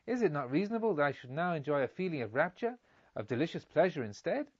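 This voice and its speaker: A man's voice: fairly high pitch, big pitch swings, fast, no room sound, lo-fi sound. A man voicing a spoilt, foolish child in a storybook, pleading and wailing in demands for relief. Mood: wailing, demanding.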